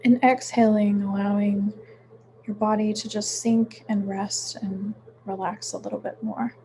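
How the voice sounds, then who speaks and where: Slow, mellow woman guiding a meditation. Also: mid-range voice, flat delivery, slight background noise. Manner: soothing, unhurried, with frequent pauses. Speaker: meditation guide. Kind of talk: guided meditation, gentle instruction.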